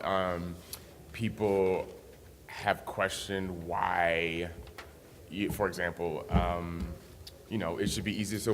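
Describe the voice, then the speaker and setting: A man on a panel, speaking in a measured way with some fillers. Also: low-pitched, a bit bright, a little hiss or hum. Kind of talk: panel discussion answer. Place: conference hall. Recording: reverberant hall with faint ambience.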